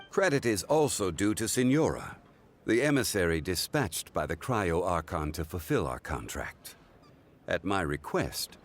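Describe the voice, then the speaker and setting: Deep, solemn ancient god. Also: male voice, slight background noise. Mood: composed. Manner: formal, measured, solemn storytelling. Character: ancient god living as a composed, scholarly man. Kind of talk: dramatic game voice acting.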